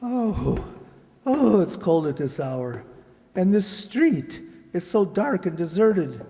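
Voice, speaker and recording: A woman's voice: on the low side, big pitch swings, takes it slowly, dark tone, a little hiss or hum, full character voice. A woman playing a mistreated young servant boy, earnest and polite, in a children's radio play. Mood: miserable. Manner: earnest, pleading. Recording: hall-like reverberant stage sound.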